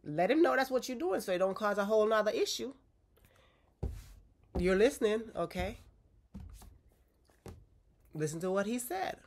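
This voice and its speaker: A woman's voice: medium pitch, very animated, moderate pace, pauses often, a little mellow. A woman in her forties, stuffy from a cold, giving direct, coaching relationship advice to her viewers and checking in with a frequent "okay?". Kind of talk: casual advice talk to viewers.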